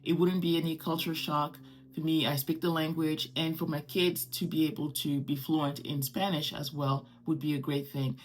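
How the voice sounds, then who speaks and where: Low-pitched woman from Morocco, native French speaker. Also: flat delivery, normal speed, soft background music. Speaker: host. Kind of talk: casual vlog monologue.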